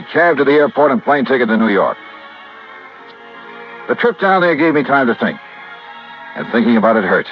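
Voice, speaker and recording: An American man acting a tough, clipped insurance investigator in a 1950s radio noir drama. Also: medium pitch. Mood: brisk narrating. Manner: clipped, hard-boiled, impatient. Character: tough, brisk freelance insurance investigator, grieving a friend. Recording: dull old radio recording, music behind.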